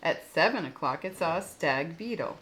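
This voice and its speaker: A woman reading stories aloud for children in an expressive, explanatory storytelling style, with small asides to the listeners. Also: deep voice, normal speed. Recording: roomy echo with faint background noise.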